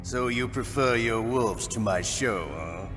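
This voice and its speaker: Guy doing gleeful game bad guy sidekick, slow showy drawl, lots of reverb. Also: medium pitch, a bit bright, close to an everyday voice. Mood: sly relish. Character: sly, gleeful villain henchman relishing destruction. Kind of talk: video game cutscene voice acting. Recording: long reverb, music behind.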